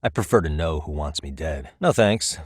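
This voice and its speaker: Low-voiced, wry American detective. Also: male voice, lively intonation, moderate pace, husky, dry recording, studio quality, full character voice. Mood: casual, wry. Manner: casual, wry, conversational. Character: streetwise male private detective, cool, wry, dogged. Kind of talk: English video game voice acting.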